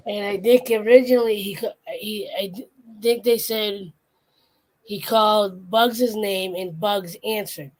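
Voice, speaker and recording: A woman's voice: mid-range voice, low-quality recording. A woman co-hosting a casual chat, telling a story in a rambling way that trails off and restarts. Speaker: podcast co-host.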